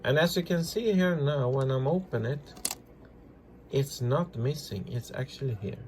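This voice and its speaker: A man presenting a casual hands-on repair tutorial, talking through each step in halting, simple non-native English. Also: mid-range voice, expressive, a little mellow, slight background noise. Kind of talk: casual hands-on demonstration, narrating as they work.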